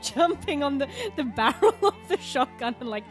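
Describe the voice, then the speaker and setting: A woman's voice: sits high, big pitch swings, normal speed, soft background music. A woman playing a tabletop game, role-playing in a casual, playful way.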